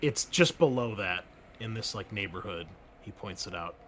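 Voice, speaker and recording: A man running a tabletop game as game master, talking in halting, stammering bursts. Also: on the low side, expressive, fairly bright tone, slight background noise.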